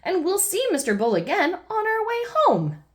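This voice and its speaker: A woman voicing a kind and patient mother pig who speaks gently and politely. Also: on the high side, huge pitch swings, measured pace, slight background noise. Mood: gentle.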